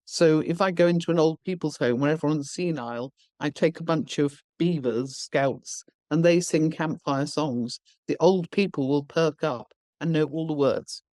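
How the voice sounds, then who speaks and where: A woman's voice: low-pitched, moderate pace, hardly any pauses, dark tone, no room sound. A woman guest on a podcast interview, explaining her views bluntly and emphatically with everyday examples. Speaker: education founder, guest.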